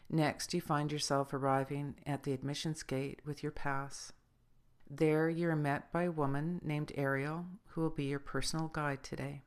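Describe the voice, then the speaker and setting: A woman leading a scripted guided meditation as a spiritual guide, speaking in measured, deliberate phrases. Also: deep voice.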